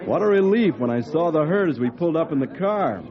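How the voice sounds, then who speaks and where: Man as genial worried colonel, slightly high voice, old radio drama, dull recording, background hubbub. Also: expressive, brisk, crowd noise, lightly acted. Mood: relieved.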